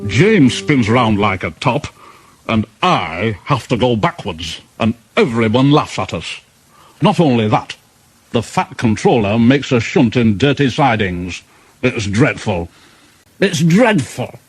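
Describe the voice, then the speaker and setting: A man's voice: medium pitch, big pitch swings, slow, slightly rough, dry recording, full character voice. A man voicing a pompous, self-important, indignant big steam engine in a children's storybook. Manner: pompous, spluttering, aggrieved.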